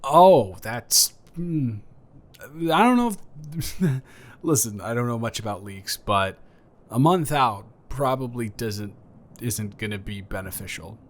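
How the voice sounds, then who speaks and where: Guy acting as flustered friendly male neighbor, stammers and rambles, medium pitch, roleplay audio. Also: animated, takes it slowly. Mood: hesitant, helpful. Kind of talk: improvised roleplay audio, conversational.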